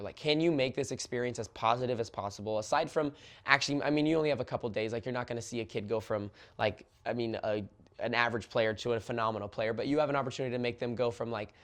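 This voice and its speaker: A young man in his twenties from Oregon, a youth soccer coach, talking about his experience in an earnest, casual way. He speaks quickly, with lots of "like" and "um," and often restarts his sentences. His voice sits at a middle pitch. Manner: earnest, fast talker, many fillers and restarts. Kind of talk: casual interview, personal story.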